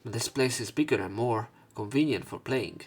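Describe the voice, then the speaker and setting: A man doing a let's play, reading visual novel narration and dialogue aloud, somewhat haltingly, correcting himself as he goes. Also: medium pitch, husky. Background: game music.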